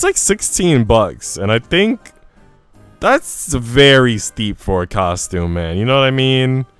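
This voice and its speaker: Guy streaming a visual novel, clear smooth voice, chill slangy talk, dry room, bit of music under it. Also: fairly low pitch, no echo. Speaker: game streamer. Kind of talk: casual livestream chat and reading aloud visual novel text.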